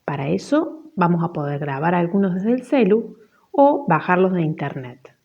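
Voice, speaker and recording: A woman's voice: lowish voice, lively intonation, moderate pace, muffled recording. A woman with an Argentine accent giving instructions and examples as a teacher.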